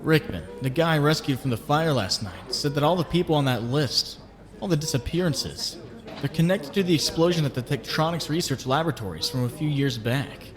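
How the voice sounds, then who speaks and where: A man's voice: fairly high pitch, expressive, brisk, long reverb tail, a crowd in the background, natural voice. A man playing an earnest, troubled young superhero in a comic dub, brooding aloud. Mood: worried musing. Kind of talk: comic book dub acting.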